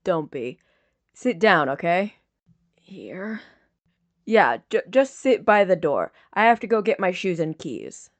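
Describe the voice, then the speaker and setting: A female reader plays a protective young man in a fiction audiobook. He is worried and plain-spoken, with a fairly low, subdued voice. The delivery is very slow and casual. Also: sing-song, no echo, full character voice. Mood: urgent, caring.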